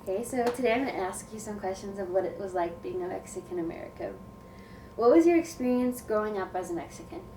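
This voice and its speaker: A woman interviewing someone, asking prepared questions in a brisk, matter-of-fact way. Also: mid-range voice, lively intonation. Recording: roomy, distant mic, background noise.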